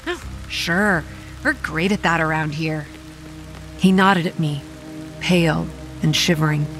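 A woman playing a young campground manager, narrating a horror audio drama in a grave, measured way with a dry edge. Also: low-pitched, poor audio. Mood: exasperated, sarcastic. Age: young adult. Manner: grave, measured storytelling with dry sarcastic asides. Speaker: narrator and lead actor. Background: quiet music and rain.

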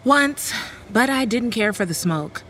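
A woman's voice: a little high, expressive, a little slow, fairly bright tone, slightly rough, full character voice. A young woman playing a mild, hesitant college student with dry wit in an audio horror drama. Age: young adult. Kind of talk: radio horror drama acting.

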